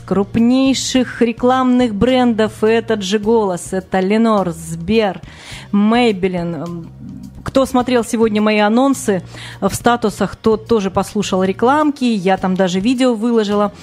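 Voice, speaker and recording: A woman hosting a casual live radio interview, talking in an excited, flowing and self-deprecating way. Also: mid-range voice. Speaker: radio host.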